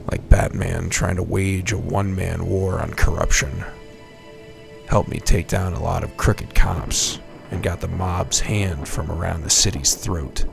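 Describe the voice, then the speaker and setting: Man playing a gravelly, weary police captain. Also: low-pitched, heavily acted. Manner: slow, brooding. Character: world-weary older male police captain, grim narrator. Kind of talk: comic-book noir audio drama narration.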